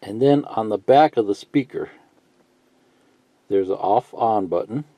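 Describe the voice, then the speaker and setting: A man giving a casual, unscripted how-to tutorial, thinking out loud in a halting, folksy way and reading bits of instructions aloud. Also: on the low side. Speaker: hobbyist instructor. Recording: muffled lo-fi sound, roomy echo.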